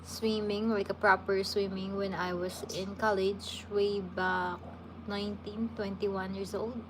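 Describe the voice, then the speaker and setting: A woman's voice: medium pitch, unhurried, background noise. A woman, chatting casually with viewers as a livestream host and answering their questions. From Philippines. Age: 30s. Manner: relaxed, chatty, with frequent restarts.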